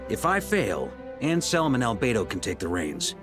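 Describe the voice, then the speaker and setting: Game cast: a calm, commanding knight leader, lively pitch, music behind. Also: male voice, moderate pace. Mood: assured. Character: confident male knight commander, middle-aged.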